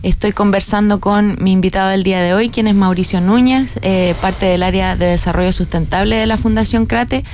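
A woman hosts a radio interview at a mid-range pitch. She talks in a measured way, full of "eh" fillers and tag questions, with faint noise behind her. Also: fairly even intonation, darkish tone. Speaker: radio host.

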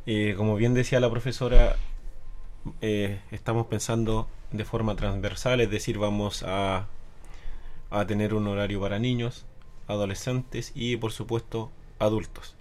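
Low-voiced male sports official. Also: flat delivery, measured pace, darkish tone. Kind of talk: interview answers.

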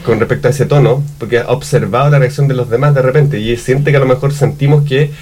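A man's voice: medium pitch, steady tone, quick pace, darkish tone. Chilean man hosting a reflective chat. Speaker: talk show host. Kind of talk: casual reflective discussion.

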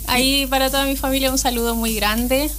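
Young woman singer, soft-spoken. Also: high-pitched, little pitch movement, a little fast, slight background noise. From Chilean Patagonia. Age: 20s. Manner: friendly, modest, many fillers, laughs easily. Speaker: guest singer. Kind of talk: casual radio interview.

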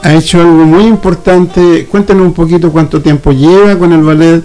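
A man's voice: on the high side, fairly even intonation, normal speed, a little mellow, soft background music, poor audio. A man with a Chilean accent hosting a casual interview in a folksy, familiar, colloquial way. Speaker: radio host.

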